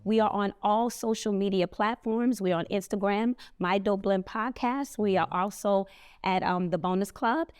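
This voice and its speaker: A woman's voice: mid-range voice, moderate pace, a little mellow, clean tone. A woman hosting a podcast, talking to her listeners in an upbeat, chatty way. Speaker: podcast host. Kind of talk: casual podcast chat.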